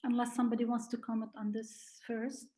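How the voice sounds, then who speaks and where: A middle-aged woman, a therapist, offering reflective remarks in a group discussion in a hesitant, measured way. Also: a little high, flat delivery, mellow.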